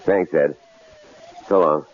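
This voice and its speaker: A man acting a shrewd, self-satisfied middle-aged professor in a radio drama, with a gravelly voice and a halting, folksy delivery. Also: extremely low pitch, fairly even intonation. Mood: relieved, reassuring. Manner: halting, repeats words. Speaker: actor. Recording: dull, noisy vintage radio drama recording.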